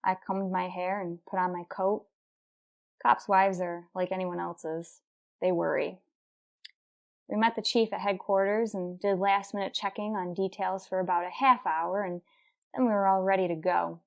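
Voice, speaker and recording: A woman's voice: mid-range voice, normal speed, creaky, lightly acted. A woman playing a determined, businesslike police detective sergeant, narrating a radio crime drama in a clipped, matter-of-fact way. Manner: terse, deadpan, procedural. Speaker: narrator and lead actor.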